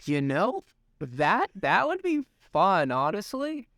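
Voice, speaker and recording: A young man co-hosting a casual podcast, animated and swearing freely, often restarting sentences. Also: high voice, slow, dry recording, clean studio sound. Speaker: podcast co-host. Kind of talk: casual chat.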